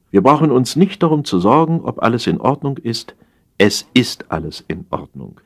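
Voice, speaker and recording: A man narrating a humorous satire as an audiobook reader, in an expressive, measured storytelling manner. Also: low voice.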